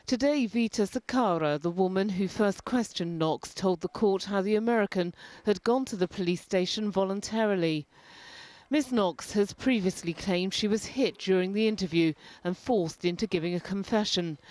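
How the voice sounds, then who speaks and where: A woman's voice: on the low side, darkish tone, no echo. Woman reporter reading a formal news report.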